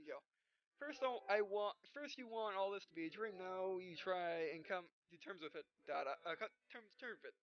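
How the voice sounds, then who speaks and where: Muffled, halting woman gamer. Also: medium pitch, expressive. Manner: halting, stumbling read-aloud with frequent self-corrections. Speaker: gaming video host. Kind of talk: let's play commentary, reading game dialogue aloud. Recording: dull, muffled lo-fi microphone. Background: game music.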